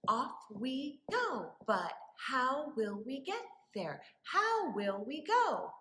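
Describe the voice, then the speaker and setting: A woman leading story time for young children as a librarian, with animated wonder and a sing-song, encouraging way of talking. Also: high voice, slow pace. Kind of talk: children's story time with rhymes, questions and songs. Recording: echoey room.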